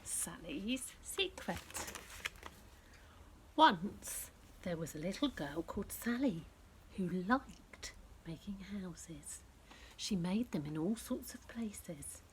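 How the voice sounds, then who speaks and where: A woman reading a picture book aloud to young children, speaking directly to them in an expressive storytelling style that often drops to a hush. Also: on the low side, slight background noise. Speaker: children's story reader and presenter.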